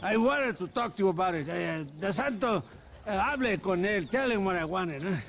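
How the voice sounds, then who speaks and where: An actor playing a lecherous, bombastic Mexican army colonel in a video game, drawling theatrically with a Mexican accent. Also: male voice, high-pitched, lively intonation, normal speed, fairly bright tone, a little hiss or hum. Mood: pompous, amused. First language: Spanish. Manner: drawling, self-indulgent, theatrical.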